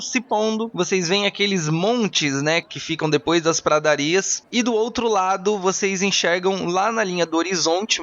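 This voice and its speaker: A man's voice: high voice, a bit bright. A man narrating a tabletop roleplaying game as game master. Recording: dull lo-fi, music behind.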